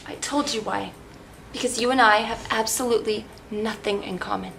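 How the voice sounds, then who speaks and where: Woman acting high-pitched, melodramatic young wife. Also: fast, pushed and bright. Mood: cold, resolute. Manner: dramatic, emphatic, indignant. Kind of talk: theatrical comedic stage dialogue. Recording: echoey room with background noise.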